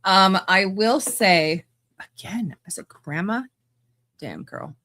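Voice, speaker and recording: A woman's voice: mid-range voice, animated, slow pace, pushed and bright, no echo. A woman hosting a podcast, giving blunt, casual commentary with laughing asides and frequent restarts. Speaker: podcast host.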